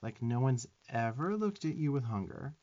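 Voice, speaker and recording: A sassy little demon twink, acted by a man for roleplay ASMR, with an average-pitched male voice. He scolds emphatically and profanely, bossy yet openly fond of the listener. The audio is slightly dull. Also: animated, moderate pace, dark tone, lightly acted. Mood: sassy insistence.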